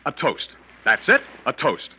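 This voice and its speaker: An actor playing a smooth, scheming husband in an old-time radio mystery. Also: male voice, mid-range voice, huge pitch swings, a little slow. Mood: smooth, genial. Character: smooth, scheming husband, outwardly polite, inwardly nervy. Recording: muffled old radio recording, faint noise.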